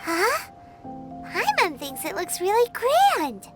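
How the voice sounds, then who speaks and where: A woman voicing a tiny, excitable fairy companion who chirps about herself in a bright, childlike way. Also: extremely high pitch, takes it slowly, full character voice. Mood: eager. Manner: chirpy, speaks of herself by name. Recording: game audio with loud music.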